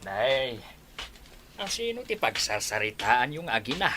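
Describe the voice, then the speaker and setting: A man's voice: medium pitch, sing-song, unhurried, background noise. An actor playing a middle-aged, understanding father in a radio drama. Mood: concerned, understanding. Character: concerned, understanding father of a grown daughter. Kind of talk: Ilocano radio drama dialogue.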